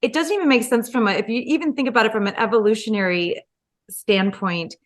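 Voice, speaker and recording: A middle-aged woman from the United States, a dietitian guest telling her story in an animated, casual way, full of "like" and "you know". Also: fairly high pitch, fairly quick. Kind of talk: casual interview chat.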